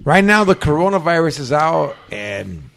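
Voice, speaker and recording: Slangy male podcast host, higher voice. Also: very animated, normal speed, gravelly, fairly bright tone. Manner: loose, slangy, joking banter. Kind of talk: casual podcast chat.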